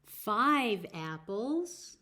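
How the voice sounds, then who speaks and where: A woman with an American accent hosting a children's story time, speaking deliberately as if to young listeners. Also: medium pitch, very animated, bright, forceful tone. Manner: slow, deliberate phrasing with questions to young listeners. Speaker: library story time host.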